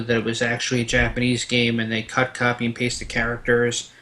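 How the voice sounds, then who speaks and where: A man's voice: medium pitch, pushed and bright. Rambling, opinionated man talking games. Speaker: panelist. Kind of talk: casual gaming discussion.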